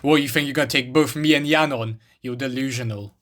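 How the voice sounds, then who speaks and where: A man's voice: mid-range voice, a little fast, a bit bright, natural voice. A man acting as a protective, plain-spoken wasteland survivor in game cutscene dialogue. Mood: confrontational.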